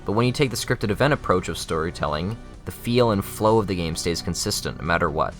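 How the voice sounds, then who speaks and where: A man's voice: low voice, expressive, fast talker, soft background music. A young adult man reading scripted narration for a video essay.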